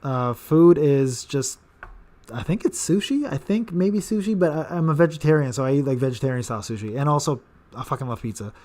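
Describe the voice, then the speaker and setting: A man in his late thirties answering viewer questions on a casual live stream. His voice sits a little high for a man, and he rambles, stumbling over repeated words and filling gaps with "um" and "uh.". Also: lively intonation, fairly quick, darkish tone. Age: 30s. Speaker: gaming channel host.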